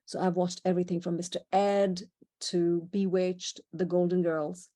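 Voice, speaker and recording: A woman's voice: on the low side, level tone, moderate pace, mellow. A middle-aged woman from India with an Indian accent, giving a chatty illustrated talk with asides and small laughs. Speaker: presenter. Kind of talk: illustrated talk on horses in history and culture.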